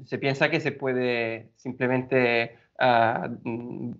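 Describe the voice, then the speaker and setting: A man's voice: mid-range voice, steady tone. Italian-accented man, hesitant guest, muffled lo-fi sound. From Italy. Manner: halting, many fillers and restarts. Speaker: guest engineer. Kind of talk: podcast interview.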